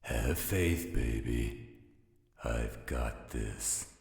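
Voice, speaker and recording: Man voicing werewolf guardian, extremely deep, protective, assured, teasing, dry close sound, audio drama. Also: lively intonation, drawn-out words, creaky, a little mellow, husky, no echo, full character voice. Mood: tender, assured. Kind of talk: roleplay audio drama acting.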